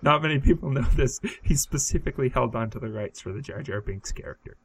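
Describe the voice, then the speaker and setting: Deadpan man spinning absurd bits. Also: mid-range voice, fast talker, creaky, slightly rough. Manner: deadpan, spins absurd tall tales. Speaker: podcast co-host. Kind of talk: casual comedic banter.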